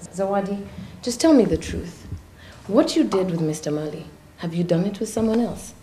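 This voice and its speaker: Actress doing a kenyan lady lawyer, calm probing questions, soft-edged tone, bit of echo and hiss. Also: mid-range voice, natural voice. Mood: probing, composed. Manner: measured, formal questioning. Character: composed, probing female defence lawyer in court. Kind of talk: TV courtroom drama cross-examination. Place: indoor courtroom set. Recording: roomy echo with faint noise.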